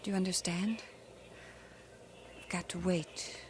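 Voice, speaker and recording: A woman's voice: fairly low pitch, steady tone, slow pace, a little hiss or hum. A shy, hesitant young woman. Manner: soft, hesitant, stammering. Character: shy young woman student being courted. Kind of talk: 1950s radio drama acting.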